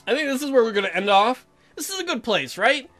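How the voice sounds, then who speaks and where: A man hosts a let's play in a high, very animated voice. His commentary is casual, crude and full of jokes. Game music plays behind him. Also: fast talker. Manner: irreverent, crude, jokey.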